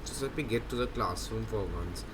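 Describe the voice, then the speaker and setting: A man's voice: fairly low pitch, a little fast, very noisy. A man giving a casual, rambling walkthrough commentary of a video game. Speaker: gaming video host. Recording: loud game music under the voice. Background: loud game music.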